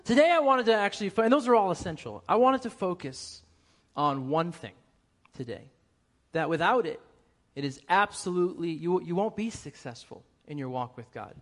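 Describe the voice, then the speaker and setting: Man, young adult, light Boston accent, preacher, energetic, jokey, slightly high voice. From New England, US. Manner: energetic, joking with the congregation. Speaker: guest preacher. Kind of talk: lively church sermon.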